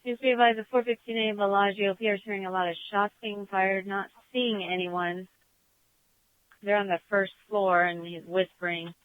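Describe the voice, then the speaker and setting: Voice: medium pitch, steady tone, normal speed, smooth, dry recording. A police dispatcher speaks over a thin, phone-like radio channel. The sound is dry and closed in, in the clipped procedural style of emergency dispatch. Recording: tinny, narrow-band police radio transmission.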